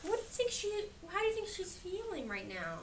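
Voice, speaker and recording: Animated, high-voiced woman reading to children. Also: brisk. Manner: asks questions, echoes and affirms children's answers. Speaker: read-aloud educator. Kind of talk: interactive picture-book read-aloud to children. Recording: distant mic in room with background noise.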